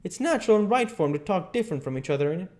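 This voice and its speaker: A man's voice: high-pitched, animated, very fast, darkish tone, voice pushed up. A man voicing an earnest, know-it-all Southern boy in an audiobook, explaining things persuasively in country dialect. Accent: American South. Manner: earnest, persuasive, lilting questioning. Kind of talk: audiobook character dialogue.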